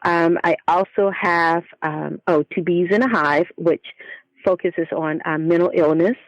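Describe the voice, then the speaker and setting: A woman's voice: lowish voice, unhurried, no room sound, highs cut off. A woman speaking as a children's author in an interview, explaining things thoughtfully in a conversational way.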